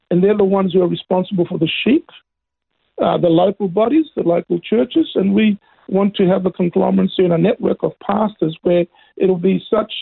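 A middle-aged man, a native Greek speaker, giving earnest testimony as an interview guest, with a raspy voice and emphatic, preacher-like phrasing. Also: high-pitched, no echo. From Australia. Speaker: interview guest, pastor and evangelist. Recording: narrow phone-like band.

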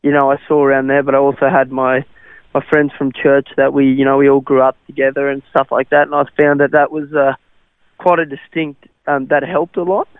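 A man's voice: medium pitch, level tone, a little fast, smooth, no room sound. Young aussie guy, afrikaans first language, umms a lot, dry tinny radio sound. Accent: Australian. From South Africa. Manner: hesitant, many fillers and restarts. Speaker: interview guest, cricketer. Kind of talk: radio interview. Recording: tinny phone-like radio line.

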